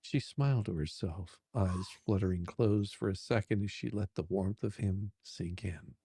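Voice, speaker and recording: Deep husky guy narrating a spicy romance, lingering amused phrasing, close dry mic. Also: moderate pace, dark tone, no echo. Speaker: narrator and reader. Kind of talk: dramatic reading of a steamy romance.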